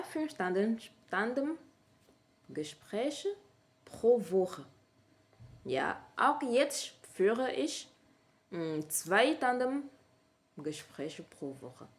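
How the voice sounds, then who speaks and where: A woman speaking German with a French accent as a learner, hesitant and often stopping to correct herself in a casual vlog. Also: on the high side. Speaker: language learner sharing her method. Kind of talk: casual vlog talk to camera.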